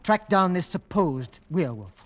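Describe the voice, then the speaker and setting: An actor playing a decisive, determined gentleman in a vintage radio drama, speaking in clipped, authoritative phrases. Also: high-pitched, very animated, normal speed. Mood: resolute. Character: decisive, determined gentleman hatching a dangerous plan. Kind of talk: 1940s-50s radio drama acting. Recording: dull vintage radio recording.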